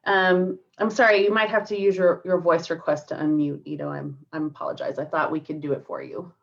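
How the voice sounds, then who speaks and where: A woman's voice: deep voice, little pitch movement, a little fast, gravelly. A woman hosting an online event, reading a speaker introduction and apologetically sorting out muting problems on a video call. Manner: apologetic when handling tech trouble. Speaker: event host. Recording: distant, dull online call audio.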